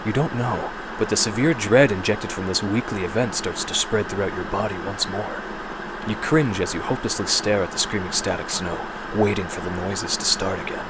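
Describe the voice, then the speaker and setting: A man's voice: on the low side, moderate pace, very noisy. A man delivering suspenseful, theatrical scripted narration as the storyteller of a horror audio drama.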